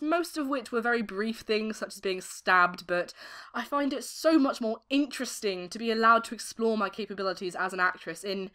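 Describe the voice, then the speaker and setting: Young woman, medium pitch, articulate, expressive, interview answers. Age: young adult. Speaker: amateur voice actress being interviewed.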